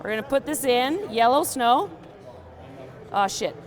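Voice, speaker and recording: A young woman tying a fishing fly in a joking, deadpan demonstration, with many ums and uhs. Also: high-pitched, unhurried, crowd noise. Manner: deadpan, playful, many fillers. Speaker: guest fly tier.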